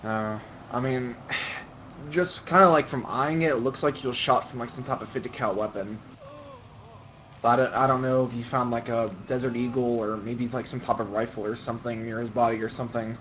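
Male roleplay gamer, casual, halting delivery, thinking aloud, with light noise on the voice chat. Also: medium pitch, steady tone, a bit bright. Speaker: roleplay gamer playing an investigator. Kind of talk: improvised in-character game roleplay chat. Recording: noisy gaming voice chat.

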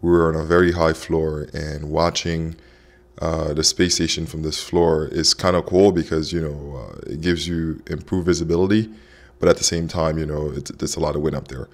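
A man's voice: very deep voice, fairly even intonation, normal speed. A man in his thirties from Haiti, hosting a casual explainer vlog in a conversational way. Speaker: host, app co-founder.

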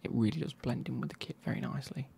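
A man, reviewing football kits in a soft, casual whisper with wry asides. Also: mid-range voice, very fast, gravelly, husky.

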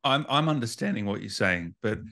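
A man's voice: on the low side, animated, fairly quick, vocal fry, bright, forceful tone. A man hosting an informal interview, chatting casually and jumping in with curious questions and interjections. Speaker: interview host. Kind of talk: casual chat.

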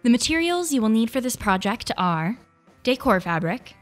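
Craft tutorial host: young female, fairly high pitch, scripted instructional read over background music. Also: big pitch swings, normal speed. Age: young adult.

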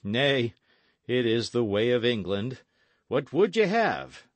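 A man's voice: medium pitch, very animated, slow, dry recording. A man voicing a stern but fair-minded English earl in an audiobook, with formal, weighty, archaic speech. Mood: insistent.

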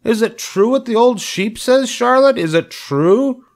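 A man's voice: high-pitched, lively intonation, gravelly, fairly bright tone, voice pushed up, exaggerated voice. A man voicing a childish young male pig in a storybook, wailing and pleading dramatically. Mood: desperate, tearful. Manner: wailing, dramatic, drawn-out cries.

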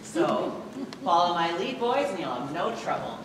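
A man's voice: high voice, very animated, a little slow. A young man acting on stage in a school play as a teenage lead actor with a grandiose, theatrical manner. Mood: pompous. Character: pompous teenage male lead actor, Barry. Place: theatre stage, filmed from the audience. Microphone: far camera. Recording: distant, echoey, noisy theatre hall recording.

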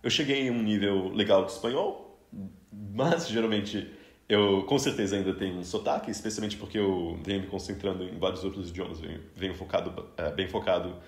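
A man's voice: deep voice, a little fast. Chatty Brazilian man in a roomy room. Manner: chatty, with frequent restarts and easy laughter. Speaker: podcast host interviewing a guest. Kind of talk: casual interview conversation.

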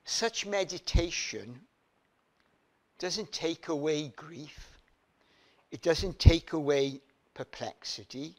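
An older man from Wales preaching a sermon at a church lectern, building emphasis through repeated phrases. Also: a little high. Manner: slow, emphatic preaching with rising repeated phrases and hand gestures. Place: church hall, standing at a lectern.

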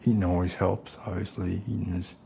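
Guy playing unstable middle-aged survivalist man, asmr roleplay, soft halting rambling, gravelly, bit of background noise. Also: deep voice, little pitch movement. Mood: tender, earnest. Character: unstable, falsely gentle self-appointed protector, survivalist loner.

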